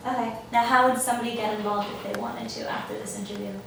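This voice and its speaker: A woman interviewing students for a student news show, with quick upbeat reactions. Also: mid-range voice, big pitch swings. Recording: echoey room, mic far off, hiss.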